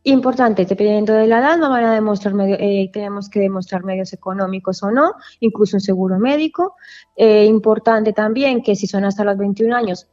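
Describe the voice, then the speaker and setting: A woman's voice: mid-range voice, a little mellow, highs cut off. A woman lawyer explaining immigration paperwork in a radio interview, full of asides and self-corrections. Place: office in Valencia, Spain.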